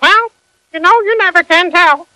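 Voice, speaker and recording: A woman's voice: extremely high pitch, normal speed, bright, forceful tone, dry recording, pitched above the performer's own voice, heavily acted. A woman voicing a cheeky, goofy little bird sidekick in a storybook cartoon, talking in an offhand, slangy way. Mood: musing.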